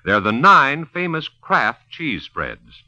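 A man's voice: mid-range voice, very animated, takes it slowly, pushed and bright, no echo. A man announcing and narrating in polished 1950s radio style, as salesman and storyteller. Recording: muffled 1950s radio recording.

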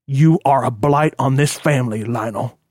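A man's voice: medium pitch, normal speed, darkish tone, dry recording, clean studio sound, natural voice. A man voicing a stern, bitter, ailing old rich father in an audiobook, scolding and folksy as he gives orders. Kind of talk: audiobook character acting.

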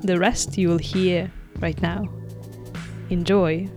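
A woman's voice: on the low side, fairly even intonation, slow pace, darkish tone, soft background music. A woman hosting a podcast, reading a welcome intro and then chatting with her guest in a friendly, easy way. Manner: friendly, laughs easily, short backchannels. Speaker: podcast host. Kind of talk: podcast intro and casual interview.

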